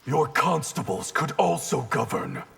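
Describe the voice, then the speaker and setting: An actor playing a cold, defiant ninja clan leader in a video game, haughty and menacing. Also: male voice, on the high side, big pitch swings, a little slow, gravelly, fairly bright tone, husky, faint music behind, exaggerated voice. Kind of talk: video game character dialogue.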